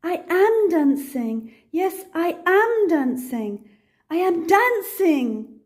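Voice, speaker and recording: A sensitive, clumsy boy giraffe from a picture book, acted out by an older woman. The voice is lifted high and mellow, earnest and openly emotional. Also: big pitch swings, extremely slow, exaggerated voice. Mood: joyful.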